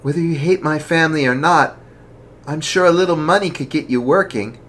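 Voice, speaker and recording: A storyteller voicing a smug, rich young woman with a taunting manner, pitched above their own voice. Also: fairly quick, natural voice.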